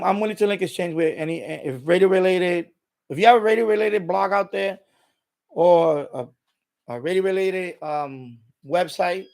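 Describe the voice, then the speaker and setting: A man's voice: on the high side, vocal fry. A middle-aged man chatting casually and unscripted as a live stream host, rambling and repeating himself as he explains.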